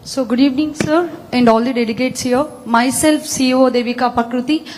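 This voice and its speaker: A woman's voice: high voice, fairly even intonation, fairly quick, darkish tone. A woman in her twenties with an Indian accent, making an earnest, unscripted request from the audience as a startup founder. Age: 20s. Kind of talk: unscripted public request to a leader. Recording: echoey hall microphone with background noise.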